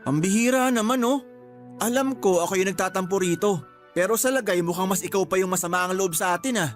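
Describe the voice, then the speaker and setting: A man's voice: high-pitched, quick pace, faint music behind, lightly acted. A man playing a sulky, complaining young boyfriend in a radio drama, whiny and exasperated in manner. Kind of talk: Tagalog radio drama acting.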